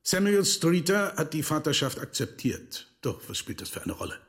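An actor playing a menacing, possessive rich father in a dubbed 1950s thriller, speaking in a measured, condescending way. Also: on the high side, very animated, creaky. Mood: sardonic menace.

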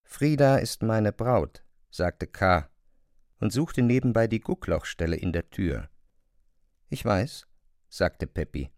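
A man narrating a literary radio drama with measured, descriptive storytelling. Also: on the low side, expressive, a little mellow, no room sound, studio quality.

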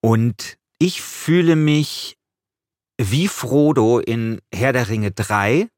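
A man's voice: medium pitch, very slow, a little mellow, dry recording, studio quality. A man hosting a podcast, moving between scripted narration and casual chat. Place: small radio studio. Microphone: studio microphone.

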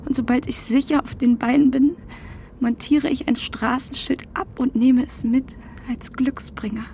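A woman's voice: sits high, steady tone, measured pace, creaky, mellow, slight background noise. An actress playing a pensive fugitive woman in a whispered radio drama monologue. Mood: pensive, secretive.

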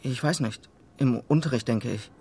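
A nervous teen cadet, evasive and polite, middle pitch, hesitant, radio drama. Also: male voice, normal speed, warm tone, husky. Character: evasive, uneasy teenage male military cadet. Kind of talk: German radio drama acting.

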